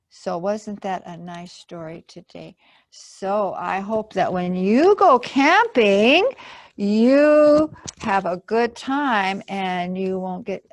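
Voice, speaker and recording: A woman's voice: mid-range voice, no echo. A woman hosting a library storytime, telling a story to children and adding simple explanatory asides. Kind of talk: children's read-aloud storytelling.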